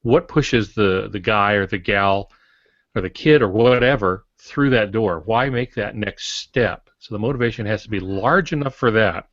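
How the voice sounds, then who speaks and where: An older man explaining things in a halting, digressive way as a co-host in a panel discussion. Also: on the low side, a bit bright. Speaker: podcast co-host, writing instructor. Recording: muffled, roomy lo-fi podcast audio.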